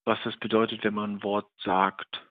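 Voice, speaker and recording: Male interviewee, writer. Flat intonation, thinks aloud tentatively, tinny telephone-quality sound. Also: lowish voice, normal speed. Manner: tentative, searching for words, self-correcting. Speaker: writer and radio play director being interviewed. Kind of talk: reflective interview talk about own work. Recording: tinny phone-like line.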